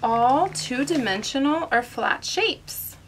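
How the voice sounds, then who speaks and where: A woman's voice: high voice, animated, slow pace, a little hiss or hum, low-quality recording. A woman reading aloud to young children as host, instructing and prompting them with questions.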